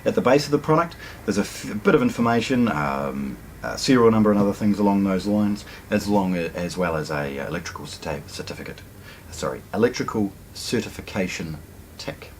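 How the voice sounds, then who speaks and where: A man's voice: lowish voice, expressive, brisk, a little hiss or hum. A middle-aged man, presenting a casual product unboxing in a hi-fi store, chatty and enthusiastic with frequent ums and restarts. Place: hi-fi store, indoors.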